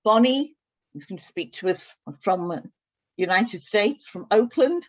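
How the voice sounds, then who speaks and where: A woman chairing an online panel, briskly introducing the speakers. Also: mid-range voice, lively intonation, muffled recording.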